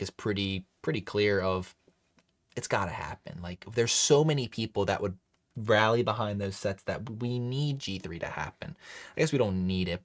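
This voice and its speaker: A man hosting a casual live stream, chatting loosely with many fillers and restarts while reading chat. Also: fairly low pitch. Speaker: livestream host.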